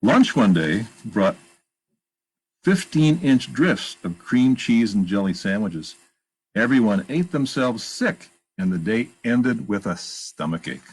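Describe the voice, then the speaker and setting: A man's voice: lowish voice, very animated, takes it slowly, breathy edge, low-quality recording. A man reading a children's picture book aloud, expressively, with plain asides about the pictures. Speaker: storytime reader and narrator.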